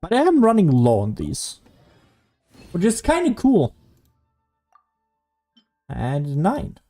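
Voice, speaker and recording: A man giving casual solo gameplay commentary as a streamer, rambling and thinking out loud as he goes. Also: fairly high pitch, huge pitch swings, slow pace, soft background music. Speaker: gaming streamer.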